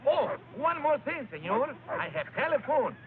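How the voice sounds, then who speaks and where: An actor playing a genial, self-important Mexican villager with an accent, mixing broken English and Spanish in an old-time radio drama. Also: male voice, very high voice, sing-song, normal speed. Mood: eager, boastful. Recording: noisy, dull old radio recording.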